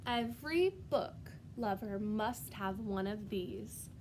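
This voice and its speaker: A woman's voice: medium pitch, takes it slowly, a little mellow, slight background noise. A woman voicing a stern but kindly female librarian in a children's storybook, firm and formal.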